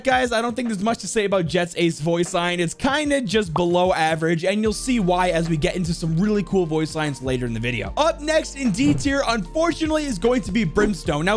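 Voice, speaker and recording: Casting: chatty male gaming host, high voice, very lively pitch, informal delivery. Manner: chatty, informal, stressing words for emphasis. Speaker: video host. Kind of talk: casual gaming commentary to camera.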